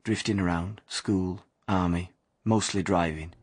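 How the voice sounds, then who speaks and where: An actor playing a wry, confiding working-class young drifter, narrating a radio drama in colloquial speech. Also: male voice, deep voice, steady tone, a little slow, husky. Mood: reflective. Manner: colloquial, non-standard grammar.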